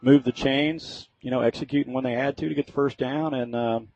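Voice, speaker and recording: A man's voice: mid-range voice, fairly even intonation, a little fast, darkish tone, dry recording. An American man giving informal, folksy color commentary during a live football broadcast. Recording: slightly dull, lo-fi radio sound.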